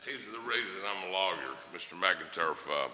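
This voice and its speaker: A man giving an informal eulogy, telling folksy anecdotes in a halting way to a gathering in a large hall. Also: low-pitched, expressive, a crowd in the background. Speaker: criminal defense lawyer giving a eulogy. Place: large indoor hall before an audience. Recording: echoey hall with long reverb.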